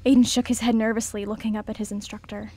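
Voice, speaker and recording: A woman's voice: fairly high pitch, level tone, fast talker, dark tone, husky. A woman reading scripted narration for a radio play as its narrator.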